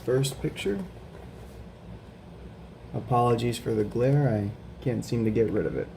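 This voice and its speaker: A man's voice: medium pitch, gravelly, background noise. A man reading a children's picture book aloud as a guest reader, stopping to ask the young listeners questions. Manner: slow, gentle storybook reading with questions to kids.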